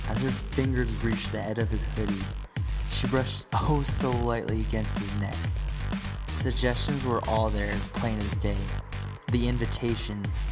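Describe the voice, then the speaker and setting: A man narrating a scripted story as the narrator of an audio drama. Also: on the low side.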